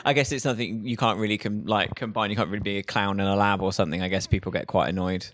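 A woman's voice: very deep voice, steady tone, racing, no room sound. A woman interviewing a guest in a casual, chatty radio style.

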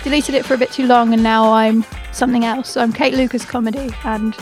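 A woman's voice: on the high side, fairly even intonation, fast, a little mellow. A woman comedian giving casual, giggly, self-deprecating answers as a guest. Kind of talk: casual answers to quick questions. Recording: music bed under the voice. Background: music.

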